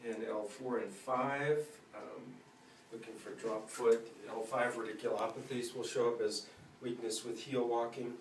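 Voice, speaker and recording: Distant-miked man, clinical and matter-of-fact. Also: mid-range voice. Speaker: clinician demonstrating a neurological exam. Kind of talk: instructing a patient while explaining to viewers. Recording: roomy, distant mic with faint noise.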